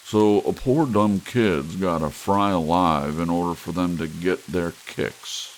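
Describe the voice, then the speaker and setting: A man's voice: low voice, measured pace, rough recording. A man voicing an irritable, world-weary husband, blunt and exasperated, in a short story reading. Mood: resigned, bitter. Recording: steady hiss, rain sound underneath.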